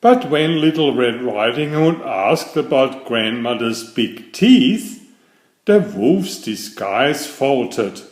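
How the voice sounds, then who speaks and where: A man narrating a children's fairytale aloud in a deliberate, expressive storybook style. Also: medium pitch, echoey room.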